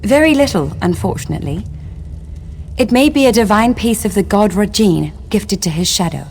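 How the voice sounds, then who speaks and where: An actress playing a long-imprisoned elven wife in a fantasy game, speaking formally and solemnly, with bitterness and longing. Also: female voice, mid-range voice, animated, moderate pace, a little mellow, a little hiss or hum, close to an everyday voice. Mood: apologetic, measured. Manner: formal, archaic, solemn phrasing. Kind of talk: scripted fantasy game dialogue.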